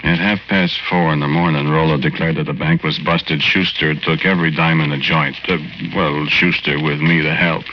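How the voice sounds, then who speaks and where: An actor playing the ghost of a rough-spoken, earnest tough guy who tells his story in slangy, wry 1940s radio drama style. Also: very deep voice, steady tone, normal speed, hardly any pauses, noisy background. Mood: wry storytelling. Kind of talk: 1940s radio drama acting, first-person narration. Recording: muffled old 1940s radio recording, music.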